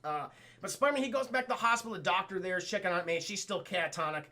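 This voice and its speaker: A man's voice: high voice, rapid-fire, bright, forceful tone. A man streaming a casual, profane scene-by-scene cartoon recap, stammering and addressing his viewers.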